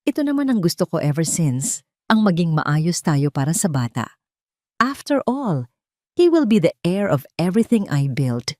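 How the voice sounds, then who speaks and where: A woman's voice: on the low side, sing-song, normal speed, warm tone, no room sound, clean studio sound. A woman voicing an arrogant, self-satisfied cheating husband in an audio drama, talking in a condescending manner. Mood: smug. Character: smug, arrogant cheating husband, adult man.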